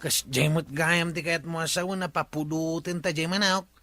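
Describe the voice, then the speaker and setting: Man acting a cheeky village sidekick, Ilocano accent, sharp highish voice, dry sound. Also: fairly even intonation, moderate pace, no room sound, faint music behind. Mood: amused. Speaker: comedy drama actor. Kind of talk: Ilocano comedy drama acting.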